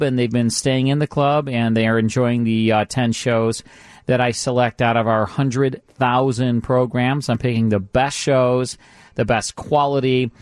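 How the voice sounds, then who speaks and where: A man's voice: mid-range voice, dry recording, clean studio sound. An American man hosting a radio show in a casual, conversational, repetitive sales patter. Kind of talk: casual radio promotion and chat. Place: dry radio studio.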